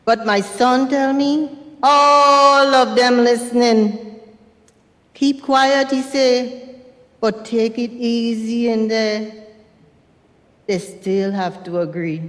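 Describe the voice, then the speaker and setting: A woman playing a wronged, defiant Grenadian mother in a theatrical reading, speaking deliberately and repeating phrases like an incantation. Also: a little high. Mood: bitter, resigned. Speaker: reader in a dramatized stage reading. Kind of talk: slow theatrical dramatic reading. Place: university recital hall stage. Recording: long hall reverb.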